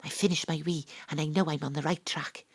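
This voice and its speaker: An actress playing a brisk, sardonic female private investigator in an audio drama, telling the story in a dramatic, knowing way. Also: deep voice, fairly even intonation, slightly rough, no room sound, clean studio sound. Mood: dramatic, confiding. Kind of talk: audio drama narration and dialogue, hard-boiled detective parody.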